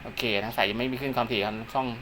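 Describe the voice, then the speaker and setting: A man's voice: mid-range voice, very fast, slight background noise. A man narrating a casual hands-on demo of radio equipment at home, talking steadily and politely. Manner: steady, polite, ends nearly every phrase with a polite particle. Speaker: amateur radio hobbyist vlogger. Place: room at home by a window.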